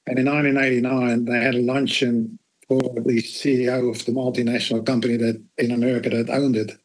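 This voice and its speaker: An elderly man in his eighties recounts his life in a matter-of-fact, blunt way, adding dry little asides. His voice sits at an ordinary pitch for a man and has a slightly husky, breathy edge. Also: little pitch movement, quick pace, low-quality recording. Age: 80s. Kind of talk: spoken life story in an interview.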